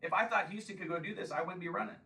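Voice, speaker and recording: A man's voice: high-pitched, rapid-fire, sharp tone, far from the mic. A man in his fifties giving a combative campaign speech and taking questions at a local meeting, often pressing "Okay?". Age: 50s. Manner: combative, emphatic, repeats "Okay?". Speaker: congressional candidate.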